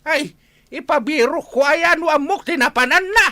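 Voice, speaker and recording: A woman's voice: high-pitched, a little slow, sharp tone, no room sound. A woman with an Ilocano accent playing an anxious old village grandparent in a radio drama. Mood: worried. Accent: Ilocano, northern Philippines. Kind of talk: radio comedy drama acting.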